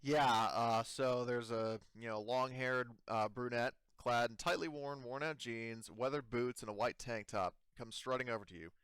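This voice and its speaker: A man running a tabletop roleplaying game, narrating scenes off the cuff in an informal, halting way. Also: medium pitch, fairly even intonation, fairly bright tone, clear, resonant tone, no room sound. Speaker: game master.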